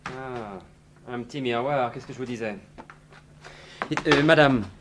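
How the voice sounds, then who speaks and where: An actor playing a commanding, inquisitive gentleman sleuth in a French radio mystery, polite and assured. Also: mid-range voice, a little slow, a little hiss or hum, close to an everyday voice. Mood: probing. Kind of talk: 1950s-style French radio mystery acting.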